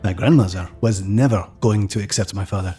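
A man's voice: on the low side, fairly quick, warm tone, husky, soft background music. A man acting in an audio drama as a reflective grown son recounting family history. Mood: reflective, measured. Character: reflective grown son recounting his parents' troubled marriage.

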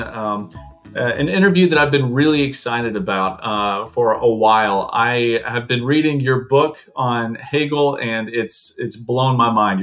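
A man's voice: mid-range voice, a bit bright. Eager male podcast host. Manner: eager and enthusiastic, filler-heavy. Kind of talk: interview hosting. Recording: music behind the intro.

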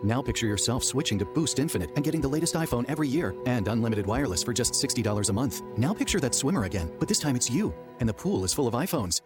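A man's voice: mid-range voice, animated, fast talker, few pauses. A man narrating a scripted radio commercial as an announcer. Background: music bed.

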